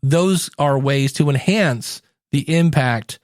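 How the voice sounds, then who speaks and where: A man hosting a podcast in a conversational solo style, speaking emphatically and slowing down for dramatic effect. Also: medium pitch, no echo, clean studio sound. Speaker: podcast host. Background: background music.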